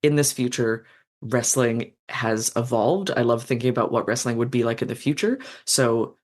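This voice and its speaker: An author on a casual podcast talks at length and with enthusiasm, full of ums and likes. The pitch sits in an ordinary middle range, neither high nor low. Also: steady tone, moderate pace, darkish tone. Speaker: author guest.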